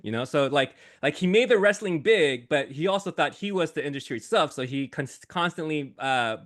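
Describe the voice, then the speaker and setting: A man who is a guest on a podcast, talking in a casual, animated way and laughing often. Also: on the high side, moderate pace.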